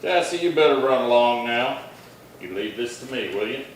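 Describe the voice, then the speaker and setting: Radio western lawman male actor: laconic, steady, unhurried authority, distant and heavily reverberant with faint noise. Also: medium pitch, natural voice. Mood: calm, firm. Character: steady, watchful male US Marshal of the Old West. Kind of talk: old-time western radio acting. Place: little theatre stage, distant mic. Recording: very echoey hall, far from mic, faint noise.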